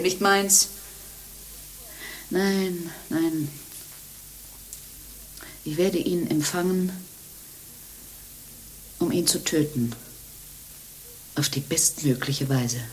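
An actress in a German radio play playing a proud, scornful young nun who speaks emphatically. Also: female voice, lowish voice. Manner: emphatic, haughty, declamatory.

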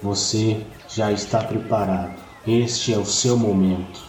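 A man's voice: fairly low pitch, steady tone, unhurried, a little mellow, close to an everyday voice. A man playing the solemn, protective spirit of an old shaman mentor in an audio storybook. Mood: solemn, reassuring. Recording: echoing, distant voice.

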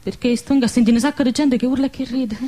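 An actress playing an excitable, hopeful wartime village girl in an emotional dialect radio drama, with a central-southern Italian accent. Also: sits high, fast talker, lightly acted. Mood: puzzled, earnest. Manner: emotional, exclamatory.

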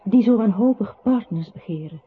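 An actress playing a narrating voice in a literary radio play, observing a composer who withdraws into silence. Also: female voice, mid-range voice, expressive, moderate pace, breathy edge. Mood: sombre. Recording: muffled, noisy old radio recording.

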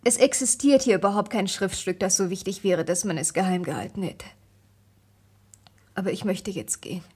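A woman's voice: medium pitch, normal speed, darkish tone, breathy edge, lightly acted. An actress playing a distressed, anxious young woman who speaks earnestly and fragilely in a vintage German radio crime drama. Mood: pleading, weary. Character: distressed, anxious young niece defending her cousin.

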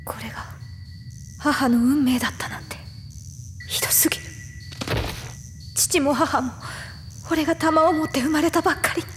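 A woman's voice: sits high, normal speed, long pauses, a little hiss or hum, natural voice. A woman playing an earnest, brooding young boy hero, narrating an anime story in a sombre, reflective tone. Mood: bitter sorrow. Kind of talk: dramatic first-person anime narration.

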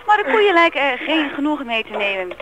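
An actress playing an unflappable, dogged live radio reporter with formal, insistent delivery in a radio play. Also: female voice, sits high, very animated, brisk. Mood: urgent reporting. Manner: insistent, formal on-air commentary. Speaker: actor. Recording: very noisy, mooing cattle behind.

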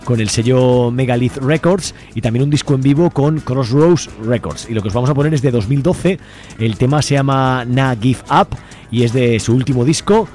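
A man's voice: mid-range voice, normal speed, darkish tone. A man with an accent from Spain presenting casually as a live radio host. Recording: music playing behind. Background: music.

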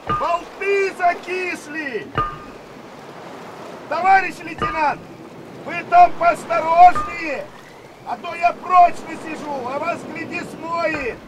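An actor playing a dogged, loyal young submarine petty officer who calls out haltingly in a radio drama. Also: male voice, very high voice, steady tone. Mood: strained, dogged. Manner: shouting haltingly over wind and waves, straining. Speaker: sailor. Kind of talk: Soviet radio drama acting. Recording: very noisy, wind and surf effects. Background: storm wind, waves.

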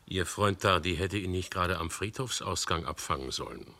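A shrewd police commissioner, low bright voice, slightly husky, probing questions. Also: male voice, brisk. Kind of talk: radio play acting.

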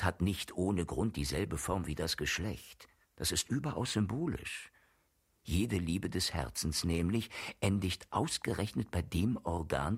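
A very deep, sardonic worldly friend. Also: male voice, husky, full character voice. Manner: rhetorical, declamatory, sardonic. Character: worldly cynical male friend, lecturing with learned bawdy irony. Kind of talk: German radio play acting.

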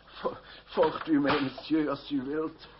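An older man acting in a radio drama as a devoted, deferential old family servant, halting and flustered. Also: fairly high pitch, little pitch movement. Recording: dull, noisy old radio recording.

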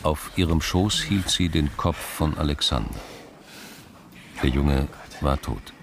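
A man narrating a radio crime drama as a sober, grave storyteller in a measured scripted style. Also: very deep voice, animated, noisy background, heavily acted.

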